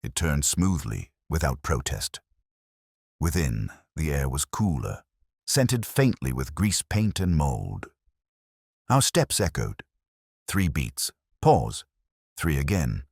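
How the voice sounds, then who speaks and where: Guy narrating a detective story, really low voice, solemn and measured, lively pitch, dry recording. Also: vocal fry, breathy edge, no echo. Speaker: audiobook narrator.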